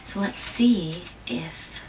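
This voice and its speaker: A mother reading a picture book aloud to her child at home, gently stopping to ask questions and jumping to a squeaky high pitch on small words. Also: extremely slow, crowd noise. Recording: distant mic with faint room noise.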